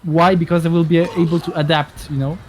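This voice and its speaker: A man co-casting a strategy game, explaining tactics in halting sentences with many restarts. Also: fairly high pitch, little pitch movement, slight background noise. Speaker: co-caster. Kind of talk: game analysis commentary. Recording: music playing behind.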